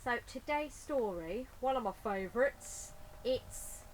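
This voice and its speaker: A woman's voice: fairly high pitch, expressive, takes it slowly, rough recording. Woman reading to children, with background noise. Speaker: children's story reader. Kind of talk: informal chat and expressive storybook reading for children. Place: inside a garden greenhouse. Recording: clear ambient background noise.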